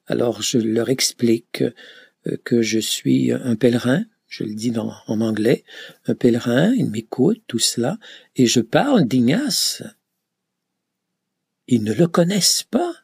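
Male pilgrim, slow, husky and gravelly, stop-start telling, dry close sound. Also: medium pitch, big pitch swings, a little mellow, no echo. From Canada. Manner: hesitant, many filler sounds and restarts. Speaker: pilgrim recounting a journey. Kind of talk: reflective travel account.

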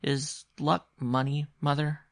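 A man's voice: a little high, big pitch swings, normal speed, creaky, fairly bright tone, no room sound, studio quality, pitched above the performer's own voice, full character voice. A man voicing an earnest, timid but insistent young boy in a storybook. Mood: timid, curious. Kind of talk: storybook character dialogue.

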